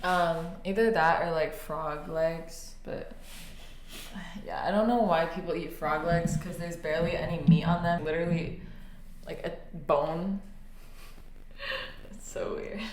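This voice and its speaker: Young woman, giggly teasing chat, lowish voice, echoey room. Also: takes it slowly. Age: young adult. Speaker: podcast co-host. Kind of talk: casual chat. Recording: echoey room with faint hiss.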